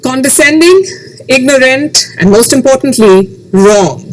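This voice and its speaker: A woman hosting a scripted news commentary, speaking emphatically with rhetorical questions and dramatic pauses. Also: high voice, lively intonation, slight background noise, low-quality recording.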